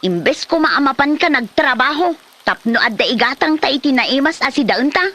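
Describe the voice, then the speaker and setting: A woman's voice: high-pitched, lively intonation, moderate pace, a bit bright. A woman playing an exasperated wife in a comedy drama, nagging and complaining at her husband. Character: exasperated, scolding wife of a lazy drinker. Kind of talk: Ilocano comedy drama acting.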